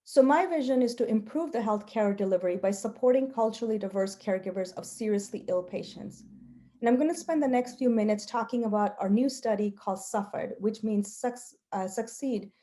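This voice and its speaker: A woman researcher, a native Gujarati speaker, giving a prepared talk with personal storytelling in an earnest, measured, emphatic way. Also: a little high, dark tone. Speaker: health researcher presenting.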